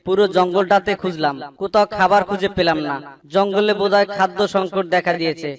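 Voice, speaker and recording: A man's voice: high voice, fairly even intonation, fast, distant mic, lightly acted. A man voicing a hungry, weary, grumbling crow in a children's cartoon. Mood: weary complaining. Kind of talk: Bengali children's cartoon dub.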